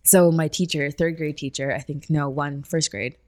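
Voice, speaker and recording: A woman's voice: low voice, fairly even intonation, moderate pace, a little mellow. A woman, chatting casually as an interview guest and telling personal stories. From Canada. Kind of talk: casual conversational storytelling.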